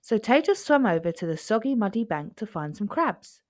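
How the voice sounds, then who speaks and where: Animated woman reading a children's story. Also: mid-range voice, moderate pace, no echo. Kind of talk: expressive scripted storybook narration.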